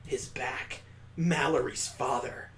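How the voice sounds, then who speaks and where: A man's voice: medium pitch, fairly even intonation, slow, a bit bright, soft background music. A man on a stream reading a visual novel aloud, breaking off for crude, joking asides and mock sound effects.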